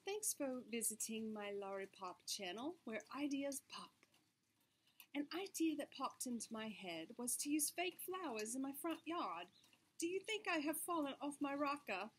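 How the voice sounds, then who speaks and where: A woman's voice: high-pitched, animated, normal speed. A woman, chatting in a bubbly, casual way as she vlogs about home and garden ideas to the camera. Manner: bubbly, chatty, thinks aloud. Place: indoors in a small room, and on a front porch. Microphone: camera or webcam at close range. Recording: very echoey room.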